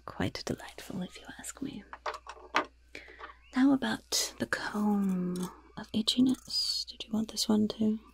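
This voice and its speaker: A woman's voice: medium pitch, slow pace. A woman voicing a sly, gleefully wicked goblin shopkeeper in a whispered ASMR roleplay, with polite, chatty patter and repeated words. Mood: sly, delighted. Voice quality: breathy, husky whisper with gravelly creak.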